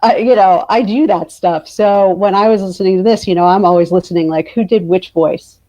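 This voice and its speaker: A woman author speaking informally in a casual interview, telling things in an animated way. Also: medium pitch, a little fast, low-quality recording.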